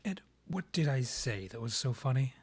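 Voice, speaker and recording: A proud vampire king played by a man in a romantic fantasy audio roleplay. His mid-pitched voice is soft-edged and breathy. He speaks in formal, measured phrases with an arch, condescending air, as a rival and former lover. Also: animated. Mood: affronted, dry. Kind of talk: scripted romantic roleplay ASMR audio drama.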